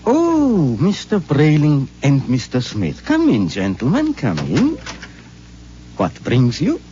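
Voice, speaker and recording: A man's voice: on the high side, wildly swooping pitch, slow, warm tone, a little hiss or hum, exaggerated voice. An actor playing a fussy, easily flustered male inventor of robots, polite and stammering, in a 1950s radio drama. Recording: dull 1950s radio broadcast with faint clicks.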